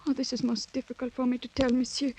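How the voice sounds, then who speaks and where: A woman's voice: sits high, level tone, quick pace, darkish tone, slight background noise. An actress playing a proud, demure young French noblewoman in a radio drama, speaking formally and earnestly with dignity. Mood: troubled, hesitant. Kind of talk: 1940s radio drama acting.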